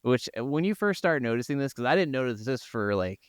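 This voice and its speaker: A man's voice: medium pitch, sing-song, a little fast, smooth, no echo, clean studio sound. A man co-hosting a podcast, chatting casually about games with some offhand swearing. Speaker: podcast co-host. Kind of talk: casual gaming podcast chat.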